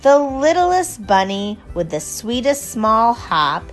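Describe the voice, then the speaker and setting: Woman, children's storybook reader, rhyming read-aloud, slow expressive delivery, light music behind. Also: a little high.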